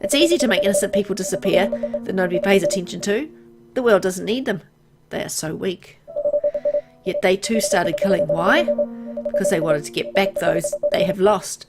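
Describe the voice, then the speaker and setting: A woman voicing an embittered, world-weary immortal man in a visual novel, explaining things gravely. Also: medium pitch, moderate pace, natural voice. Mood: bitter, explaining. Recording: loud music behind voice.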